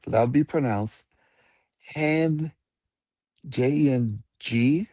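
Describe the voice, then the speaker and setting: A man's voice: medium pitch, takes it slowly, mellow, no echo. A man teaching Spanish in a casual chat, patient and spelling words out letter by letter. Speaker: guest Spanish speaker.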